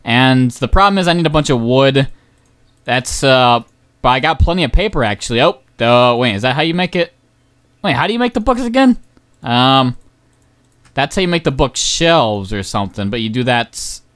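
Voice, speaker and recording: A young man giving casual, rambling gameplay commentary as a channel host, excitable and swearing often, his voice going hoarse. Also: mid-range voice, moderate pace. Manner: rambling, excitable, swears, many ums and uhs.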